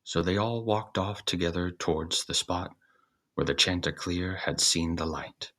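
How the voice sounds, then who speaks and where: Deep, slow-reading male storyteller. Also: fairly bright tone. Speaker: storyteller, reader. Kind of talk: scripted fairy-tale narration.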